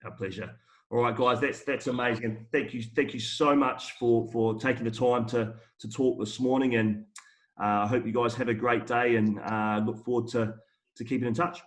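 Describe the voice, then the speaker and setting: A man's voice: mid-range voice, steady tone, moderate pace. A man on a video call thanking his hosts, speaking in a friendly way and doubling up words as he goes. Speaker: school rugby tour representative.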